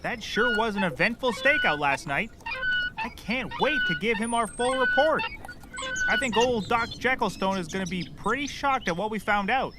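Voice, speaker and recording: A man's voice: sits high, animated, normal speed, over loud music. A man playing a cheerfully clueless, rambling private eye who cracks corny jokes in a scripted comedy. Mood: chipper, jokey. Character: bumbling, chirpy, oblivious male puppet-world private eye.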